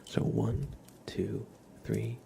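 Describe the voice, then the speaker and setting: A man speaking gently and softly, at times whispering, as an ASMR livestreamer guiding a relaxing scene. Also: fairly low pitch, fairly even intonation. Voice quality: soft, partly whispered. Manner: gentle, unhurried, casual. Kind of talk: ASMR, soft relaxing talk and guided visualization. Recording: clear crinkling and ambient noise.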